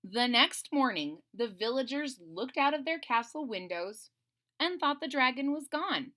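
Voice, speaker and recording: A woman reading a children's story aloud in a theatrical, playful way, pausing to explain things simply to young listeners. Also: high-pitched, expressive, pushed and bright. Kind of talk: expressive children's read-aloud with simple asides.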